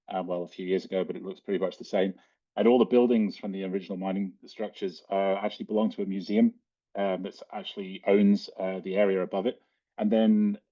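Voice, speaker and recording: Low-voiced Australian man, halting delivery. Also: warm tone. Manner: hesitant, halting delivery with frequent ums. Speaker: guest speaker, cave and mine diver. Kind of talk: informal slide presentation. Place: online video call. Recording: dull, lo-fi online call audio.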